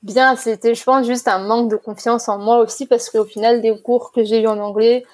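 Young woman, fairly high voice, casual interview guest, chatty, explanatory, easy to laugh. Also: little pitch movement, moderate pace, a little mellow. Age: 20s.

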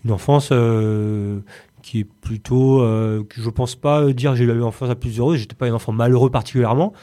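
Male interview guest, thirties, Parisian French accent, medium pitch, hesitant, casual, many repeated words. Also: steady tone, dark tone. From Paris region, France. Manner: hesitant, with many word repetitions and filled pauses. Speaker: podcast guest, company chief executive.

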